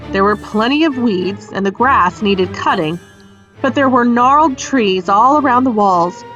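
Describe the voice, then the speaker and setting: A woman narrating a children's story aloud in an expressive, scripted storybook style. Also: medium pitch, highs cut off. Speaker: audiobook reader.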